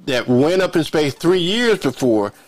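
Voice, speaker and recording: An older man hosting a television interview over a video call, talking in a rambling, halting way with many restarts. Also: on the high side, big pitch swings. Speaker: TV show host. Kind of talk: casual interview chat. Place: home office, indoors. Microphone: headset on video call.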